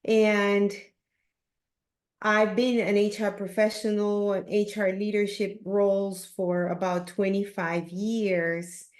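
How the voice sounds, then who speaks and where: The voice is a woman in her fifties with a Peruvian Spanish accent. She speaks slowly, in a measured and earnest interview style, at a medium pitch. The sound is roomy, with noticeable echo. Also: darkish tone. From Peru. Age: 50s. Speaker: podcast guest, leadership coach. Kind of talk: reflective interview talk.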